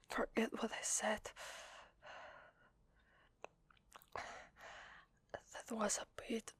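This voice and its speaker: A woman with an Italian accent voicing a shy, needy, grateful vampire lady in hesitant, breathy whispered ASMR roleplay, close to the microphone. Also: a little high, no room sound, exaggerated voice. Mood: embarrassed. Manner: hesitant, soft, halting. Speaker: ASMR voice actor. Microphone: close microphone, dry.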